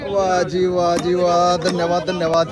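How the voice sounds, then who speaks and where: A man, chatting casually and loudly as he vlogs at a village cricket tournament, thanking people and joking. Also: high-pitched, monotone, measured pace. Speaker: vlogger. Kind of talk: casual outdoor vlog chat. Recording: loud crowd around. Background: crowd.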